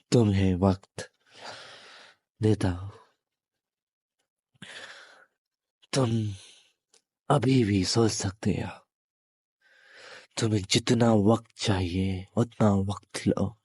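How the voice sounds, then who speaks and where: A menacing male demon acted by a man speaks straight to the listener in a low voice. The delivery is very slow and halting, taunting and possessive, with long pauses. It is a dry, close-sounding audio roleplay. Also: a little mellow, husky, dry recording, exaggerated voice. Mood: calm menace. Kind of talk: intimate audio roleplay monologue addressed to listener.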